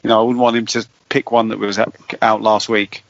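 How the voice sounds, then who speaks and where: Welsh man, co-host, low voice, dry lo-fi sound, careful questioner. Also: level tone, fairly quick, dry recording. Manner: careful, questioning, repeats words. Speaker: podcast co-host, quiz contestant. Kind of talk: casual podcast chat. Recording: dry, dull lo-fi podcast audio.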